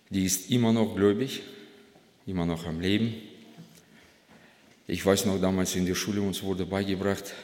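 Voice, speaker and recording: Deep-voiced man with Russian accent, reverberant hall. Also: a little mellow. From Kazakhstan. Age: 40s. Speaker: guest speaker giving testimony at church. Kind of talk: personal testimony to a congregation. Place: large church hall. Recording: long reverb of a large hall.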